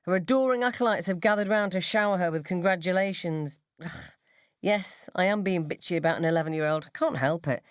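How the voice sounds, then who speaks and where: A woman reading a first-person short story for radio in a wry, expressive, sardonic manner. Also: lowish voice, a little fast, smooth, dry recording, studio quality. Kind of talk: dramatised first-person literary narration for radio.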